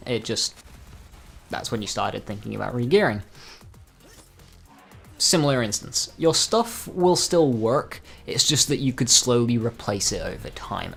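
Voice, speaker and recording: A man talking casually through game news as a video creator, informal and dropping dry, joking asides. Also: medium pitch. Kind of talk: casual gaming news commentary.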